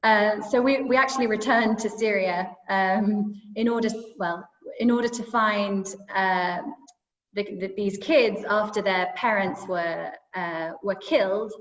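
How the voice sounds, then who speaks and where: A woman journalist speaking on a video call, thoughtful and halting, with many fillers and restarts. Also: medium pitch. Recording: echoey, roomy, dull video call audio.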